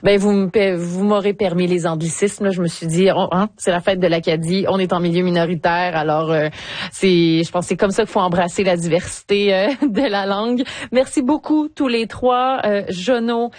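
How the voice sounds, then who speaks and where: Brief: chatty female host, relaxed talk, dry studio. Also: medium pitch, normal speed, no room sound. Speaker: radio host. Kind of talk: casual radio chat.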